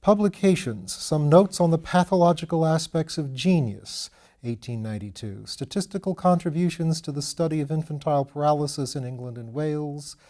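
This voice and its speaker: A man's voice: on the high side, warm tone. A man reading a novel aloud as scripted literary narration. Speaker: audiobook reader.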